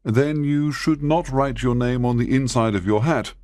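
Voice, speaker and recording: A man voicing a brilliant, confident detective in a storybook reading, explaining his deductions in a measured, slightly impatient way. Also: mid-range voice, darkish tone, no echo, studio quality, voice pushed up, natural voice. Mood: assured, explaining.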